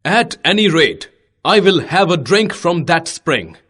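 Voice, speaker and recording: A woman voicing a proud, hot-tempered king in a children's story, with dramatic, deliberate delivery. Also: fairly low pitch, very animated, a bit bright, breathy edge, full character voice. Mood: grim. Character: proud, hot-tempered king scolding his hawk, later remorseful.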